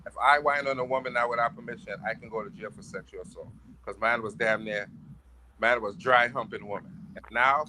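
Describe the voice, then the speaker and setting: Bright-voiced Trinidadian-accented man. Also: on the low side, a little hiss or hum, rough recording. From Brooklyn, United States. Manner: insistent, repeats points for emphasis. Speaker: podcast panelist. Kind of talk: casual debate.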